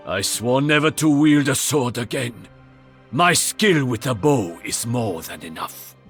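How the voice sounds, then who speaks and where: An actor playing a proud, stern master archer in a video game, with a Japanese accent and a formal, haughty delivery. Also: male voice, mid-range voice, expressive, measured pace, a bit bright, soft background music. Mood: solemn, resolute. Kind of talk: video game character voice lines.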